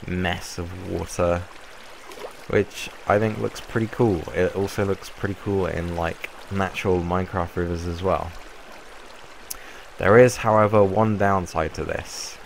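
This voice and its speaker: A young man with a croaky voice from a cold, casually explaining a game build in a rambling way. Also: sits low, a little hiss or hum, rough recording. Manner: relaxed, rambling explanation with fillers. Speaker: Minecraft YouTuber. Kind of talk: casual gameplay tutorial.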